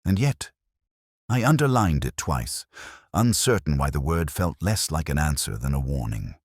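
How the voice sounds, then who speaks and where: A man with an exceptionally low voice narrates a mystery as a melancholy detective. His delivery is measured and literary, yet the pitch moves expressively from phrase to phrase. The sound is husky and very close and dry. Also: big pitch swings, no echo. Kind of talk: scripted first-person audio mystery narration.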